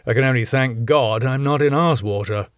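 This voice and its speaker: A man's voice: mid-range voice, moderate pace, clean tone, dry recording, studio quality, close to an everyday voice. A man doing a sarcastic club owner. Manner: strained, pompous, sarcastic. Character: sarcastic middle-aged male club owner.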